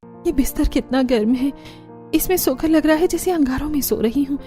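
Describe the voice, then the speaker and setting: Woman doing high-voiced melodramatic young bride. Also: moderate pace, mellow, soft background music, natural voice. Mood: suffering, complaining. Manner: earnest, pleading, melodramatic. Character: devoted, dramatic young rich bride.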